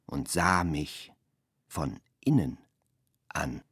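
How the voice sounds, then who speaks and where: A man with a low voice recites poetry for a radio drama. He goes extremely slowly, in deliberate, halting phrases with wide swings of melody. The recording is close and dry. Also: big pitch swings, fairly bright tone, slightly rough, no echo.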